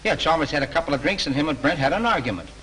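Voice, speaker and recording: Slangy, dim would-be sleuth. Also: male voice, on the high side, expressive, rapid-fire, fairly bright tone, a little hiss or hum, low-quality recording. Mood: eager. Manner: slangy, eager, tough-guy talk. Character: dim-witted studio guard, eager amateur sleuth. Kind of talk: 1930s film acting.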